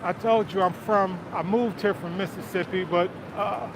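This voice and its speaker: A man's voice: high voice, normal speed. Chatty man walking busy city streets. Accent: Southern US. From Detroit, Michigan. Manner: relaxed, chatty, answers viewer comments, often says "man". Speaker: livestream host. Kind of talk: casual live-stream chat while walking. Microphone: phone held while walking. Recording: street noise. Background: city traffic and crowd sounds.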